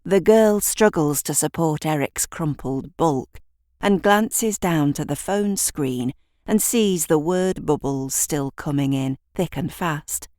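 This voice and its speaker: A woman's voice: deep voice, slow pace, a little mellow, no echo, clean studio sound. A woman narrating a scripted audio drama, with wry, conversational asides.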